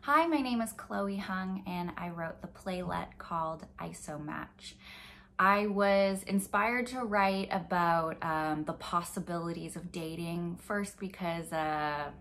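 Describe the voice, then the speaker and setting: A woman's voice: fairly low pitch, takes it slowly, fairly bright tone, slight background noise. A woman in her twenties, a playwright talking casually and somewhat hesitantly to camera. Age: 20s. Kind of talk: casual, reflective talk to camera. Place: home office, indoors.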